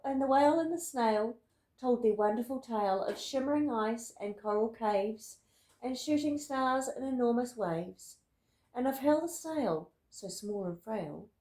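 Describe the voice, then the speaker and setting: A woman reading a rhyming picture book aloud in an expressive, deliberate storytelling manner. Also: a little high, roomy sound. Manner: dramatic, deliberate storytelling with emphatic words. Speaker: storybook reader.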